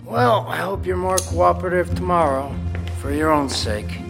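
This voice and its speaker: A man's voice: sits high, normal speed, creaky, music in the background. A man acting a menacing, volatile kidnapper in a screen drama, with taunting, folksy sarcasm. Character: menacing male kidnapper, mocking, volatile, self-doubting.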